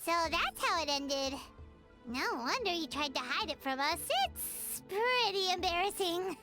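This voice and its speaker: A woman voicing an excitable, quippy young female companion in a game. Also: very high-pitched, unhurried, music in the background, heavily acted. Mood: musing. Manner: animated, quippy.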